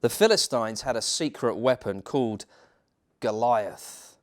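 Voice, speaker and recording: A man reading a children's bedtime story as a church minister, theatrical, with dramatic pauses and emphasis. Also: medium pitch, animated.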